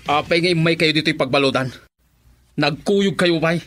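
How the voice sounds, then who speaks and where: A man's voice: fairly high pitch, normal speed, a bit bright, soft background music, close to an everyday voice. A man playing a cruel, bitter jailed villain in radio drama style, with a Filipino accent and a mocking manner. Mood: angry.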